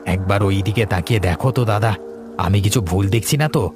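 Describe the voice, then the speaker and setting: Man as an uneasy, low-voiced village shopkeeper. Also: fairly quick, music in the background, lightly acted. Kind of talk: cartoon story acting.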